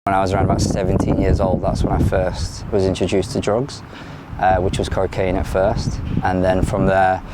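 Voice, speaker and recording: A man's voice: low voice, monotone, normal speed, heavy background noise. Frank, hesitant English-accented man. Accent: English, London. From Ireland. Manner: frank and plain-spoken, with frequent hesitations and restarts. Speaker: interviewee. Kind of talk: candid personal testimony.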